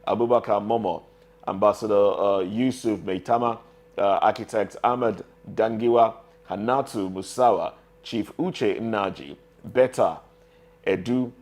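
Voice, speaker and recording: A man's voice: lowish voice, a little slow, darkish tone. A man with a Nigerian accent hosting a live news discussion, talking conversationally and addressing viewers and guests directly. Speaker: TV news show host.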